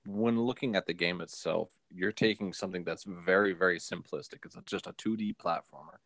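A man with a very low voice, recorded without room echo. He co-hosts a casual film podcast and speaks haltingly, circling back over his phrases as he works out his point. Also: fairly bright tone. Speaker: podcast co-host.

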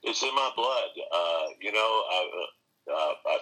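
Deep-voiced man with a bright, husky edge. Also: a little slow, rough recording. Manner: earnest, many restarts, animated emphasis. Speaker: pastor and seminary teacher. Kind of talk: conversational interview answers.

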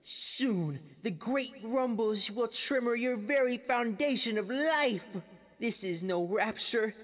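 A man's voice: very high-pitched, animated, slow, a bit bright, full character voice. A man playing an all-knowing bumblebee prophet who proclaims pompously and ominously in an audio drama. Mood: ominous, grand.

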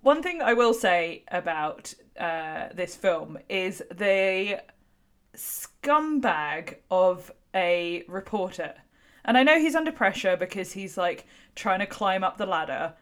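A woman's voice: medium pitch, sing-song, takes it slowly, smooth. A woman talking casually and frankly about a film as a podcast host, swearing freely and peppering her speech with "like". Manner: informal, opinionated, sweary, frequent "like". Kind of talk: casual chat, film discussion.

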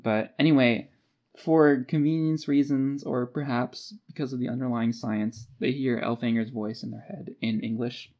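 A man with a mid-pitched voice talks as a podcast co-host. He retells a book in a loose, conversational style. His delivery is informal, with wry little jokes along the way. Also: fairly even intonation, moderate pace, muffled recording. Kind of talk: casual book discussion with plot recap.

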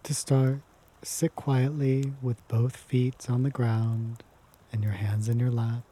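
A man leads a guided meditation in a gentle, unhurried manner, leaving long pauses between phrases. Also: mid-range voice, level tone, breathy edge. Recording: music behind the voice. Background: prominent sound bath instrumental music.

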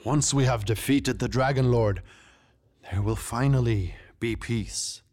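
A man voicing an imperious, fanged tiger king warlord with a commanding, formal and deliberate delivery in a fantasy story. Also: mid-range voice, darkish tone, close to an everyday voice. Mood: solemn, forceful.

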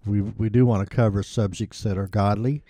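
Folksy church podcast guy, dark mellow voice, kinda halting, dry room. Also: fairly low pitch, steady tone, dry recording. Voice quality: says he sounds like a hick. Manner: folksy, self-deprecating, halting with restarts. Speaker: podcast co-host. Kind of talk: casual chat.

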